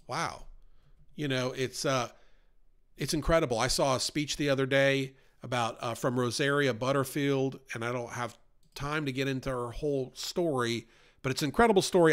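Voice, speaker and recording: A man leading an informal Bible study podcast, talking in an earnest, conversational way and pushing his voice up for emphasis. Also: mid-range voice.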